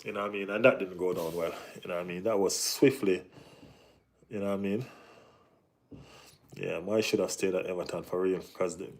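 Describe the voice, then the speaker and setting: Relaxed Jamaican man chatting on stream. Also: deep voice, fairly quick, long pauses. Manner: relaxed, repeats "you know what I mean". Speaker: football channel host reading live chat. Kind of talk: casual live-stream chat.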